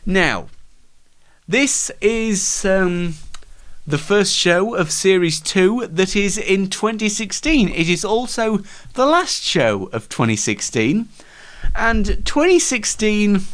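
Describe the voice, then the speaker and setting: A man presents a light comedic monologue as show host. His voice sits a little high and swings up and down a lot, with chatty, mock-serious asides. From United Kingdom.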